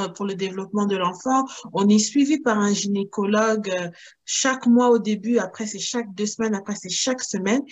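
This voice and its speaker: A woman from Cameroon, a guest founder of a maternal wellness business, speaking casually in an interview and pausing often to restart her thoughts. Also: mid-range voice, muffled recording. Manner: thoughtful, with frequent hesitations and restarts.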